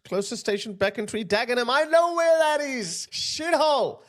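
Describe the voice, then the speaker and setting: A man's voice: animated, moderate pace, fairly bright tone. A man from Pakistan giving emphatic, sarcastic opinion commentary. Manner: emphatic, sarcastic, big pitch swings.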